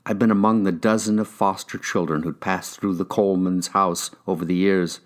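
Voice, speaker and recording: An American man with a low voice reads a crime novel aloud. He narrates in the first person, as an actor would for an audiobook. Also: steady tone, normal speed.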